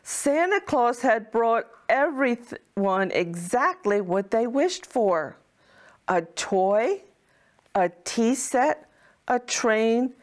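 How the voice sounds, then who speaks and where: A woman reading a children's storybook as a kindly Mrs. Claus, in a deliberate, expressive way. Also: a little high. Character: Mrs. Claus, kindly motherly wife of Santa.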